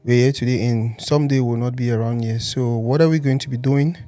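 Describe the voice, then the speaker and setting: A man's voice: mid-range voice, a little fast, no room sound, faint music behind. A man from Cameroon hosting a podcast, chatting casually and prompting his guest. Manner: relaxed, chatty, prompts guest with short interjections. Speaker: podcast host. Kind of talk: casual interview chat.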